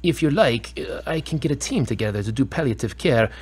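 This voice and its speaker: A man's voice: medium pitch, lively intonation, brisk, natural voice. Hesitant, earnest man acting as a doctor, varied intonation. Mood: earnest, reassuring. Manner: hesitant, formal, explanatory. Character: apologetic male hospital doctor, earnest and hesitant. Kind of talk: audio drama dialogue.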